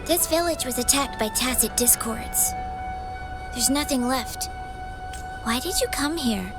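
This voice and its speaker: A woman's voice: high voice, unhurried, over loud music. Frightened young village girl. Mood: wary. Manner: nervous, guarded. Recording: game score underneath.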